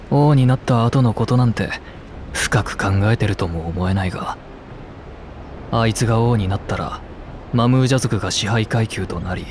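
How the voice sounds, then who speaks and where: A man's voice: lowish voice, background noise, natural voice. A man as a cool, matter-of-fact game guide. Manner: matter-of-fact, blunt, explanatory. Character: cool, knowledgeable male traveller and guide. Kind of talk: scripted game cutscene dialogue.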